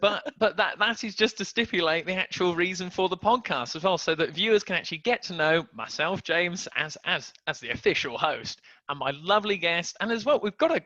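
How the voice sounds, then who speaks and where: A man's voice: on the high side, expressive, normal speed, pushed and bright, no echo, muffled recording. A man hosting a casual video podcast, joking and stumbling over restarts as he talks. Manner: chatty, jokey, stumbling restarts. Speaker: podcast host.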